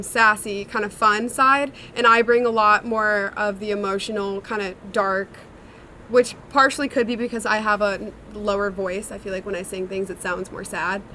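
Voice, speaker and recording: Bright-voiced young woman, chatty songwriter. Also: medium pitch, fairly even intonation, normal speed, slight background noise. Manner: chatty and reflective, many "like" fillers. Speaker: songwriter and singer in a sister duo. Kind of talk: casual interview answers.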